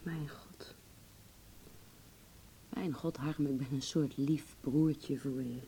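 A woman's voice: low-pitched, fairly even intonation, slow pace, warm tone, slight background noise, low-quality recording. An actress playing a vulnerable, searching young woman with a blunt streak in a Dutch radio play, speaking hesitantly and with intimate emotion. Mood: fragile, searching.

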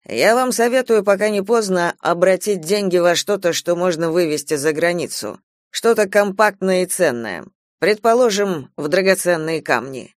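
A man voicing a gloomy, alarmist gentleman adviser in an audiobook, speaking emphatically and persuasively. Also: sits high, lively intonation, moderate pace, no room sound, clean studio sound. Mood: urgent advice.

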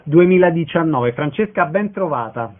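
Higher-pitched male radio interviewer, narrow sound. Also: animated, moderate pace. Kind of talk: radio interview, short questions and introductions.

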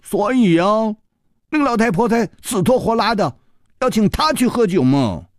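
Pouty young lad, played by a male narrator, naive yet pleased with himself, higher voice, storybook style. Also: big pitch swings, normal speed, dry recording, clean studio sound. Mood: sulky. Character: sulky, naive half-grown boy, smug at his guesses.

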